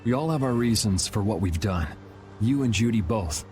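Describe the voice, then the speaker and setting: A man playing a calm, blunt young vigilante swordsman in a video game, laid-back and matter-of-fact. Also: lowish voice, moderate pace, over loud music, close to an everyday voice.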